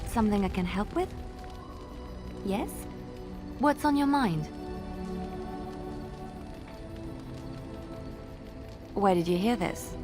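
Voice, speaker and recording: An actress playing a gentle, earnest young minstrel in a video game, with a French accent and a polite, careful manner. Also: female voice, on the high side, lightly acted. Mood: curious. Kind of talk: video game character acting. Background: music.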